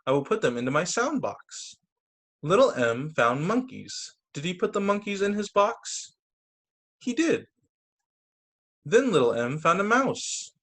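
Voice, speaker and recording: A man reading picture books aloud to children as a teacher, expressive, with brief casual asides. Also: sits high. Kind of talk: animated children's story reading. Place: remote video story time.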